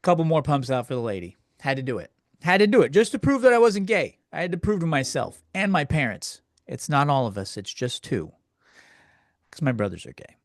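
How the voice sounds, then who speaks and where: A man's voice: fairly high pitch, sing-song, a little fast, dry recording, studio quality. A man hosting a comedy podcast, ranting casually in a crude, self-deprecating, rambling conversational way. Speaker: podcast host. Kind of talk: casual comedic solo rant, answering listener questions.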